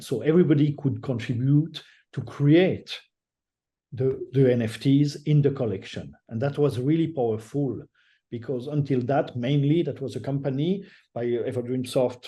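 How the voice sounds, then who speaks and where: A man's voice: mid-range voice, mellow. Older man with a French accent. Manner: halting, restarts phrases, laughs often. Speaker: guest artist. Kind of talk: casual interview over a call.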